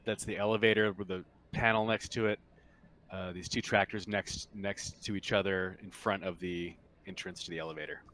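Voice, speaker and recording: A man with a fairly low voice narrates a tabletop roleplaying game as its game master. He describes scenes off the cuff, in a halting, measured manner. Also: fairly bright tone, a little hiss or hum.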